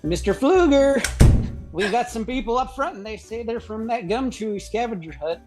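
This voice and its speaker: A woman's voice: a little high, animated, moderate pace, over music, natural voice. A woman playing a flustered, hesitant club employee in an old-time radio comedy. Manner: dithering, hesitant, stammering.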